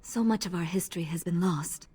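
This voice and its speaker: A woman playing a modest, gentle elven lady-in-waiting in a video game, speaking politely and deferentially. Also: fairly low pitch, fairly quick, slightly rough. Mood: guarded, wistful. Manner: polite, deferential, soft-spoken. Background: music.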